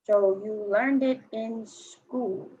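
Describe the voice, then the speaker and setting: Woman playing brash, high-voiced fourteen-year-old skater girl, husky. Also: steady tone, drawn-out words, warm tone, exaggerated voice. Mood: skeptical, mocking. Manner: blunt, slangy, sarcastic. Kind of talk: staged play reading. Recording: dull lo-fi recording.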